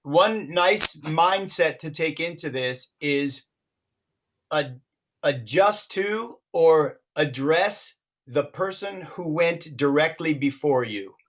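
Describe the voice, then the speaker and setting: A man co-leading a workshop, coaching a group with careful, measured explanations. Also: a little high, expressive, fairly bright tone. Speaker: workshop co-facilitator.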